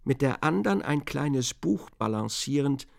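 A man reading scripted literary narration for a radio drama in a measured, precise way. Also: mid-range voice, expressive, creaky.

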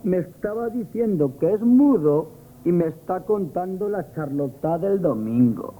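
An actor playing a cheeky, chatty grocery assistant in a radio comedy, telling things wryly in a Spanish accent. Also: male voice, sits high, expressive, unhurried, warm tone. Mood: wry, gossipy. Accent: Spain. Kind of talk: comic radio theatre acting. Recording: muffled noisy old radio recording.

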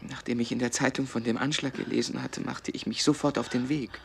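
Smooth, opportunistic wooer in a radio play. Mid-range male, earnest yet hesitant, ambient noise behind. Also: mid-range voice, fairly even intonation, brisk, a bit bright. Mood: ardent, courting. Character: smooth-talking, opportunistic suitor, anxious anarchist comrade. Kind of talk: German radio drama acting.

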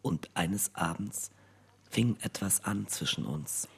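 An actor playing a secretive man who relates dark rumours in a radio play, with a very husky, breathy voice. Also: sits low, slow pace, exaggerated voice. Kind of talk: scripted radio play narration.

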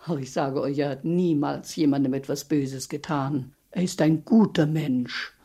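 Husky, earnest village woman. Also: low-pitched, moderate pace, mellow. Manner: emphatic, folksy, confiding. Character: loyal village woman, earnest, talkative, protective. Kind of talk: radio play storytelling.

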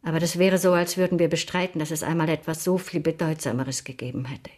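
An actress playing a proud, middle-aged woman confiding about a bitter lost friendship in a radio drama, with a husky voice. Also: sits low, fairly even intonation, fast, a little mellow. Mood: resigned, wistful.

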